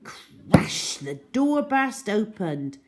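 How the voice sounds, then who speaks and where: A woman storyteller reading a children's story aloud in an expressive, dramatic way. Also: mid-range voice, very slow, slight background noise. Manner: dramatic, animated.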